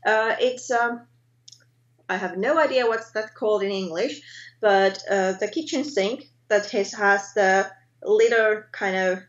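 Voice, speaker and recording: A woman in her thirties from Finland with a Finnish accent, speaking English hesitantly as a guest on a video call, often pausing to search for words and correcting herself. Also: medium pitch. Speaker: guest artist. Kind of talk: casual interview chat. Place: home art studio indoors. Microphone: webcam on video call. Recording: roomy, dull lo-fi webcam sound.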